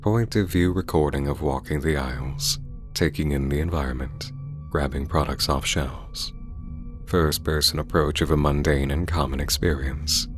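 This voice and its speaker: A man delivering scripted video-essay narration in a measured, formal, articulate way. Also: extremely low pitch. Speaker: host of video essay channel on horror series. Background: music under the voice.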